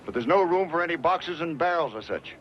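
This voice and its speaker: A man's voice: fairly high pitch, expressive, brisk, lightly acted. A man playing a practical, decisive wagon boss with rustic speech in a western radio drama. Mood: brisk. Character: brisk, practical male wagon boss of the frontier. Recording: dull lo-fi radio recording, faint noise.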